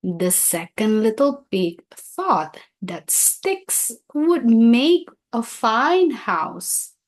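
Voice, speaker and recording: Very slow, expressive woman storyteller. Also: a little high. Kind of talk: scripted children's story read-aloud.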